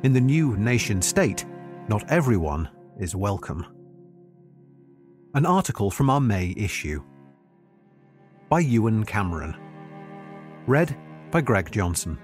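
A man's voice: fairly low pitch, sing-song, slow, pauses often, music in the background. A man reading a magazine article aloud as scripted, documentary-style narration.

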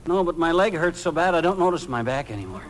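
An actor playing a blustery, stubborn middle-aged husband in an old American radio comedy, with an American accent and a folksy, grumbling, exclamatory delivery. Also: male voice, a little high, lively intonation, fast talker, natural voice. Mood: weary, stubborn. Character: blustery, accident-prone, stubborn husband, comic and excitable. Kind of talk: 1940s-50s American radio comedy acting. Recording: noisy vintage radio recording.

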